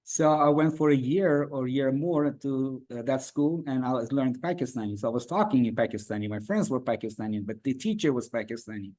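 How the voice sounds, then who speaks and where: A man from Iran whose first language is Farsi, answering questions as an interview guest over a video call. His English is halting and full of fillers and restarts. His voice sits at an ordinary middle pitch. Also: a little mellow.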